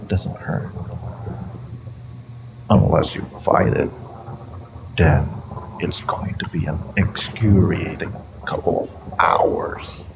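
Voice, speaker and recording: A low, unhurried male monster played by a man interrogates the listener in an audio roleplay. He is gravelly and theatrical, toying with his prey in a mocking, menacing way. A faint layer of noise sits behind the voice. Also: steady tone, exaggerated voice. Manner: theatrical, taunting, drawn-out phrasing with pauses and whispers.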